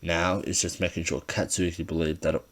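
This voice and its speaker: A man's voice: low-pitched, level tone, normal speed. A man reading scripted fan fiction as an amateur audiobook narrator.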